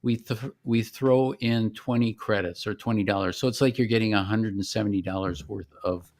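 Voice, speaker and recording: A man giving an informal product demonstration as a company founder, explaining in a halting, conversational way. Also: lowish voice, fairly even intonation, a little mellow.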